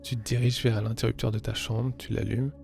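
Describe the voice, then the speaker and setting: Creaky-voiced man as game master. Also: mid-range voice, flat delivery, brisk, a little mellow, faint music behind. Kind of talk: improvised narration of a tabletop horror game.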